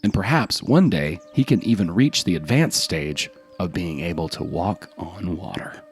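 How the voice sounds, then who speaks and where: A man's voice: deep voice, animated, normal speed, soft background music, voice pushed down. A man voicing an earnest, self-satisfied dervish in a folk tale, instructive and smug. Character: dervish in meditation, earnest, self-satisfied, easily annoyed corrector.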